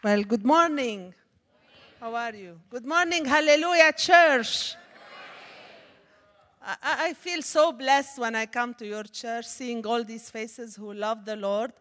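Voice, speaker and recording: Syrian woman with arabic accent speaking at church, slow and deliberate, big echoey hall. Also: a little high. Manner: warm preacherly, rising to emphatic exclamations. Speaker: guest speaker. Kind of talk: church testimony address. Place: church sanctuary.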